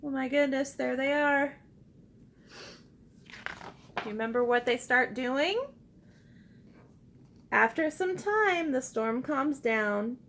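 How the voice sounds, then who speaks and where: A woman reading a picture book aloud to young children like a teacher, expressive and encouraging, pausing to ask simple questions and invite repeats. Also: high voice, creaky, slight background noise. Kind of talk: interactive children's read-aloud with call-and-response prompts.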